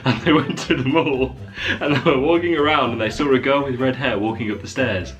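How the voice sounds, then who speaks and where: A man's voice: medium pitch, a little fast, a bit bright, faint music behind. A man reading short stories aloud in a jokey dramatic way, often breaking into laughter mid-sentence. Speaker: vlogger.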